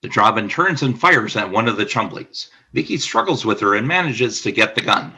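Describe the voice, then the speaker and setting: A man narrating a scripted radio play as storyteller, with a husky voice. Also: lowish voice, animated, normal speed, pushed and bright.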